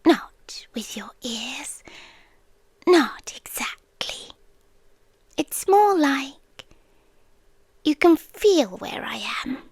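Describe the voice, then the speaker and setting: A British woman playing an obsessive, teasing yandere girlfriend in a whispered, breathy ASMR roleplay with long pauses. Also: high-pitched, lively intonation, a little mellow, dry recording, exaggerated voice. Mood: hushed, menacing.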